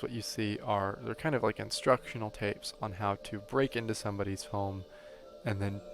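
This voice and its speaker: A young man with a low voice and heavy vocal fry talks through an analytical video essay. His wording is academic but loosened by casual asides and little check-ins with the listener, and music plays behind him. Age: young adult. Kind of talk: analytical video essay commentary, semi-conversational.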